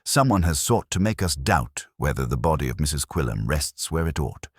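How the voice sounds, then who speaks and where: A man's voice: extremely low pitch, sing-song, moderate pace, husky, no room sound, studio quality, exaggerated voice. A man voicing an indignant, righteous vicar in a formal, pompous, exclamatory manner for a radio-style mystery. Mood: righteous fervor. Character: indignant, righteous male vicar, fussy and fervent.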